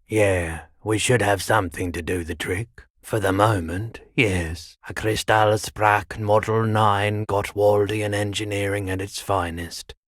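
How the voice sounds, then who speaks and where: An actor playing a laid-back, world-weary pawnshop owner in a video game, husky, soft-spoken and wistful, with an unhurried, low-key delivery. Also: male voice, steady tone, no echo, clean studio sound. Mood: businesslike, relaxed. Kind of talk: video game character dialogue.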